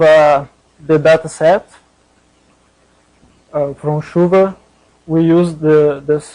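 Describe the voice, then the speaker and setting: Slow, flat-toned man presenting research. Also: on the high side, low-quality recording. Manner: hesitant, halting delivery. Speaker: conference presenter. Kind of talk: scientific presentation.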